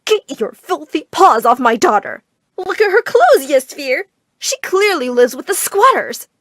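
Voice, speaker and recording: A woman's voice: a little high, sing-song, normal speed, dry recording, studio quality. A woman voicing an overbearing, snobbish, money-hungry mother, melodramatic and scolding, in a narrated story. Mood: furious snobbish. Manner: shouting, scolding, dramatic.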